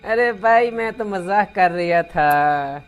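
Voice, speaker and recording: Man putting on a slow, sneering village gossip. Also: high-pitched, heavily acted. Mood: mocking. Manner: insinuating, mocking. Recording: music behind the voice.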